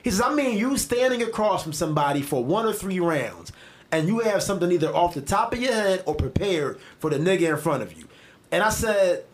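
Philly podcast co-host, male, higher-pitched voice, animated, profane, storytelling. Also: fairly quick. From Philadelphia. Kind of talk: casual chat.

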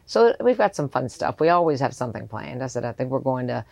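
A woman's voice: very deep voice, fast talker, creaky, no echo. A middle-aged woman, a charter company owner, chatting casually as a podcast guest, telling stories animatedly, laughing often and acting out what people said. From raised abroad, settled in Houston. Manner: animated storyteller who acts out quoted remarks and laughs often.

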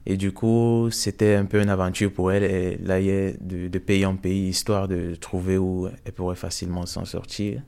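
A young man from Cameroon giving a hesitant, soft-spoken personal testimony in an interview, with many fillers. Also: low voice, level tone, moderate pace, creaky, hardly any pauses, clear, resonant tone.

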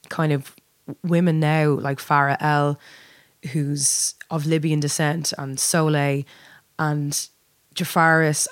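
A woman's voice: low-pitched, no room sound. A woman with a north Dublin accent chatting candidly and reflectively in an interview, often repeating words as she finds her phrasing. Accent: north Dublin Irish. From Dublin, Ireland. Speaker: band singer being interviewed. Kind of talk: casual reflective interview chat.

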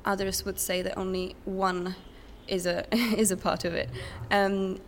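A woman's voice: mid-range voice, flat delivery, a little slow. A young woman answering interview questions in English in a hesitant, thoughtful, conversational way. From Czech Republic. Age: young adult. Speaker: interview guest, recent graduate. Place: conference center. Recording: background noise at conference venue. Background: ambient noise, distant sound check.

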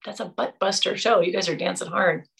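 A woman's voice: medium pitch, steady tone, brisk, vocal fry, a bit bright. Chatty woman podcast host. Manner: chatty, enthusiastic, rambling. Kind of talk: casual interview chat. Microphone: video call.

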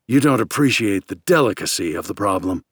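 A man's voice: lowish voice, lively intonation, a little fast, husky, no echo, clean studio sound. A man voicing an evasive, controlling captor in an audiobook, placating and patronizing. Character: evasive, controlling male captor, coolly placating, secretly menacing.

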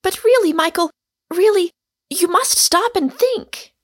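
A woman's voice: high-pitched, measured pace, pitched above the performer's own voice. A woman voicing a sensible, stout-hearted young lady from a storybook, speaking earnestly and with emphasis. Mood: earnest pleading.

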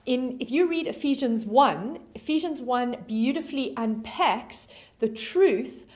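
A woman's voice: high voice, animated, unhurried. A woman with a South African accent preaching a sermon, telling stories between frequent ums. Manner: warm storytelling with frequent ums. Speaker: pastor.

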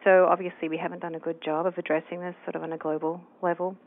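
A woman's voice: fairly low pitch, flat delivery, fast. A woman from New Zealand, a researcher answering interview questions thoughtfully with many fillers. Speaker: researcher guest. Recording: tinny phone line.

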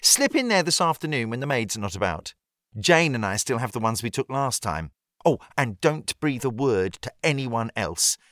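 A man's voice: mid-range voice, big pitch swings, moderate pace, a bit bright, no room sound, clean studio sound. A man voicing an eager, bossy young female college student who confides a scheme, in an audiobook. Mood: gushing, conspiratorial. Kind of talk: acted audiobook dialogue.

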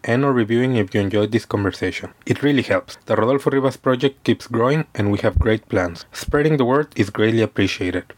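A man hosting a podcast, reading an intro and then chatting with a guest in a friendly way. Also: mid-range voice. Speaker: podcast host. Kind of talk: scripted intro then casual interview.

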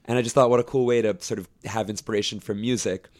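A young man who directs a camp choir, explaining his work at length in a relaxed interview, with fillers and restarts. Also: lowish voice, quick pace. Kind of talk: conversational interview.

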